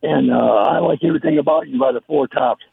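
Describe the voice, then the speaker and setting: A hoarse man calling in to a radio show to request songs, speaking haltingly with many fillers. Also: a little high, no echo. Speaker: caller. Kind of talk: phone-in request call. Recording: tinny phone line with faint noise.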